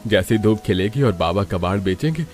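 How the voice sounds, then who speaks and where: A man voicing a weary, worried elderly family patriarch in a cartoon story, plaintive and gentle. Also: lowish voice, animated, fairly quick, faint music behind, lightly acted. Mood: gently consoling. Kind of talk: Hindi cartoon story acting.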